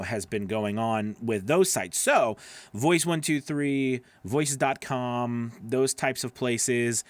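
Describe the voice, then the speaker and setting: A middle-aged man talks casually into a close broadcast microphone in a dry home studio. His voice sits at an average male pitch. He explains things like a coach hosting his own video, conversational and loosely rambling, often checking that the listener follows. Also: no room sound. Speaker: voice-over coach and video host. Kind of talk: casual instructional talk to camera. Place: small home studio with acoustic foam, indoors. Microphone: broadcast microphone on a boom arm, close.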